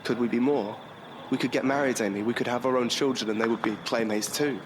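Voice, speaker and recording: A man plays a shy young suitor in an audio drama. He is earnest and lovesick, pleading in halting, awkward phrases at a medium pitch. Clear outdoor street noise surrounds him. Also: fairly even intonation, natural voice. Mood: pleading, hurt. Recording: noisy outdoor street ambience behind.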